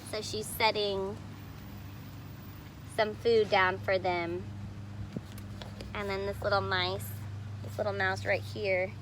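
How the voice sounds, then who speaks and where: A woman's voice: medium pitch, a little hiss or hum, poor audio. A woman reading a picture book aloud expressively, stopping to explain the illustrations simply, as if to young children. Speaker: storybook reader.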